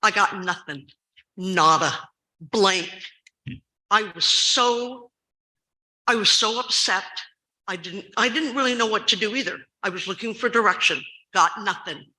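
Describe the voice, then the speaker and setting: Loud, urgent older woman, very bright voice. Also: medium pitch, a little slow, rough recording. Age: 70s. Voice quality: loud, carrying voice. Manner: urgent, emphatic storytelling with repeated stress words. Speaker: school recess staff member giving public comment. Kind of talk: public comment at a school board meeting.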